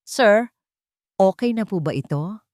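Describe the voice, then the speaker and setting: A woman's voice: medium pitch, expressive, slow, darkish tone, no room sound, studio quality. A woman voicing a timid, polite young waitress, deferential and hesitant, in an audio romance story. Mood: meek.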